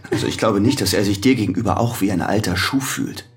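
A brooding, gravelly-voiced prisoner. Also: male voice, lowish voice, fast. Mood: subdued, uneasy. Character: embittered, jealous male prisoner, husband, brooding and tense. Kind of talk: German radio crime drama acting.

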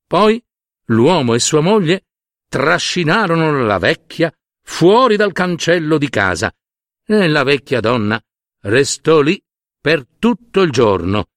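A man tells a fairy tale as a dramatic storyteller. His pitch swings widely and his delivery is unhurried. The sound is dry and close. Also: sing-song, a bit bright, dry recording.

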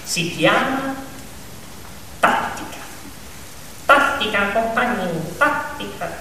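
An actor playing a smooth-talking, pompous pig propagandist who addresses his comrades in a theatrical radio drama. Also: sits high, lively intonation, slow pace, pushed and bright, a little hiss or hum, heavily acted. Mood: smug, cajoling. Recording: echoey hall, distant mic, music behind.

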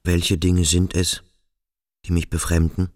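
A male actor playing a brooding, introspective schoolboy who questions himself slowly and deliberately in a literary radio drama. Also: sits low, monotone, darkish tone, no room sound, studio quality. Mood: brooding, uneasy. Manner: slow, deliberate, self-questioning. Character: brooding, introspective schoolboy pondering his own strangeness.

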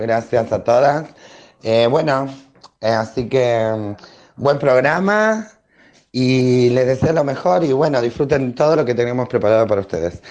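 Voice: medium pitch, darkish tone. A speaker leaves a casual greeting in a mid male-range voice. The manner is affectionate and a little hesitant. The recording is a slightly muffled, lo-fi voice message. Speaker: show regular sending greetings. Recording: dull lo-fi voice message.

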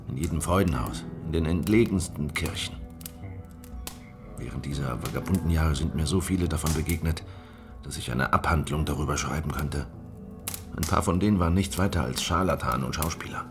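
An actor playing a weary, distrustful survivor of a crushed peasant revolt in a German radio drama, brooding and bitter. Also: male voice, rumbling low. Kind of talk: German radio drama dialogue and first-person narration. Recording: music behind the voice.

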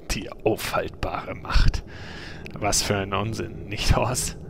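A man acting a dry, deadpan, sarcastic adventurer in a comedic fantasy game audio drama. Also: lowish voice, extremely slow, heavily acted. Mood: mocking. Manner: deadpan, mocking. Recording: clear background noise and ambience.

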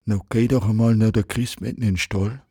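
A man's voice: fairly low pitch, steady tone, moderate pace, warm tone, slightly rough. An actor playing a mischievous, teasing rural father in a radio play, with a sly manner. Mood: teasing, daring.

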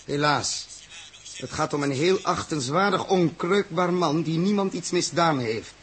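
An actor playing a blunt, intense male spaceship captain in a 1960s radio play, emphatic and deliberate. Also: fairly high pitch, a bit bright, a little hiss or hum. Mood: grave, determined.

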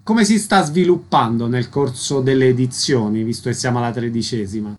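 A man's voice: mid-range voice, a little fast, a little hiss or hum. A man working as a radio interviewer, delivering prepared announcements and questions.

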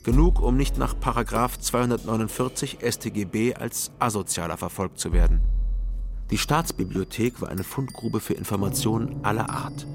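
An actor playing a world-weary private detective who narrates a radio crime drama in a dry, measured, matter-of-fact way. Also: male voice, fairly low pitch, natural voice. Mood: dry, reflective. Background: music.

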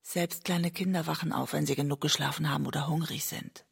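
An actress playing a weary, emotionally raw middle-aged woman in a radio drama, intimate and restrained, with a husky, breathy voice. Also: deep voice, fairly even intonation, a little fast, no echo, clean studio sound, full character voice. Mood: coaxing. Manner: intimate, restrained, literary narrating. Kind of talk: radio drama narration and dialogue.

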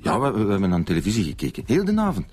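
Casting: flustered, defensive middle-aged Flemish dad, deep voice, stammering and repeating himself, radio drama. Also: male voice, huge pitch swings, very fast, darkish tone, soft background music. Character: flustered, defensive middle-aged father covering for his son.

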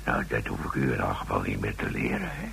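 A man playing a hesitant safecracker in a radio drama, muttering haltingly and given to chuckling. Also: rumbling low, huge pitch swings, a bit bright, slight background noise, full character voice. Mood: nervous, concentrated. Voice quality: gravelly, breathy whisper.

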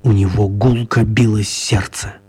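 A man narrating a children's radio play as an expressive, mock-serious storyteller. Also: fairly low pitch, measured pace, husky, dry recording.

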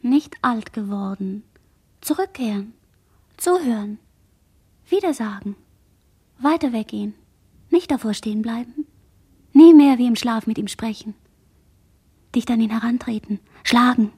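Husky, high-voiced dazed girl. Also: lively intonation, dark tone, full character voice. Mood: faint, haunted. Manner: halting, fragmented, introspective delivery, dropping into whispers. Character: dazed traumatized girl, sole survivor of murders at sea. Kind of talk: literary radio drama monologue.

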